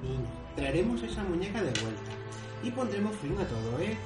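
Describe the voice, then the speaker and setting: Guy playing a brash cocky adventurer in a radio play, highish voice all over the place, roomy sound w music behind. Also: sing-song, a little fast. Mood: swaggering. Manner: blustering, swaggering. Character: brash, foul-mouthed fantasy adventurer leading his party. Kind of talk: fantasy radio play acting. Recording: echoey, distant, music behind.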